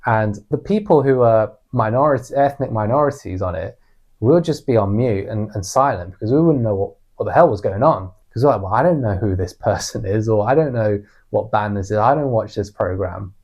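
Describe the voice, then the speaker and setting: A man's voice: lowish voice, brisk, a little mellow, slightly rough, muffled recording. A man with a British accent co-hosting a talk podcast, sharing personal experiences conversationally and reflectively, often voicing remarks as quotes. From United Kingdom. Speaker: podcast co-host.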